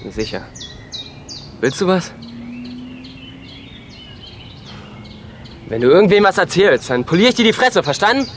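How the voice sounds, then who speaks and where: An actor playing a gruff, defensive, hot-tempered young man in an audio drama. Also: sits high, very animated, a little fast, a bit bright, a little hiss or hum. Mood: aggressive, threatening. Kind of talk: German audio drama acting.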